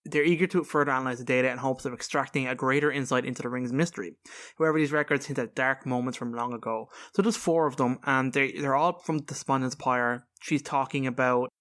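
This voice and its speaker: A man with a medium-pitched voice talks as a podcast co-host. He explains video game lore casually, in an informal and rambling style. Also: fairly even intonation, fairly quick, a bit bright.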